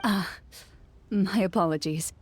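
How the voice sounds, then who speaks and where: A woman voicing a composed, stoic duelist in a video game, speaking in a measured, formal, restrained way. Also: mid-range voice, lightly acted. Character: composed, stoic female duelist, reflective about her lost master.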